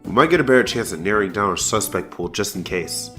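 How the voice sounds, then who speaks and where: A man's voice: lowish voice, lively intonation, quick pace, sharp tone, soft background music. A young man playing a weary, wry student superhero in an audio drama, casual and dryly sarcastic. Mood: focused.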